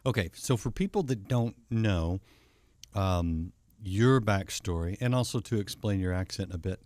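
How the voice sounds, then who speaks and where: A male talk show host asks his guest thoughtful questions, often stopping and restarting mid-phrase. His voice is low, and the recording is dry and close. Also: a little slow, a little mellow, no echo. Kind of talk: interview conversation.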